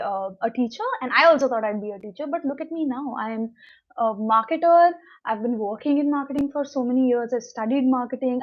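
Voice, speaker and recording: A young woman with an Indian English accent, a Konkani native speaker, talks in a loose, rambling way on a motivational livestream and stops to answer viewer comments. Her voice sits a little high. The audio is dull and lo-fi. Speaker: livestream host. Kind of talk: casual motivational livestream chat. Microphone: new mic, livestream.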